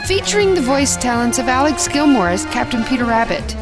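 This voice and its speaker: Woman announcer over loud music, highish voice. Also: sing-song, a little fast. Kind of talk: scripted audio drama title and cast announcement.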